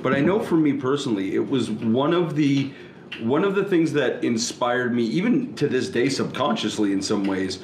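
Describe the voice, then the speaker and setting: A man hosting a casual podcast interview, with quick reactions and easy laughter, recorded in a living room. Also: medium pitch, echoey room, slight background noise, poor audio. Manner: lively intonation, laughs along, brief reactions. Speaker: podcast host. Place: living room with leather couch.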